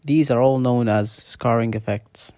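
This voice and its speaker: A man's voice: medium pitch, fairly even intonation, measured pace, mellow, dry recording, clean studio sound. A young man from Kuwait, a student presenting at a seminar, reading prepared points steadily.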